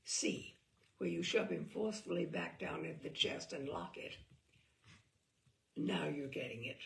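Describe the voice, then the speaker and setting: A woman reading a book aloud as a storyteller, theatrical and expressive, with a wry, arch delivery. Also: on the low side, a little slow, gravelly.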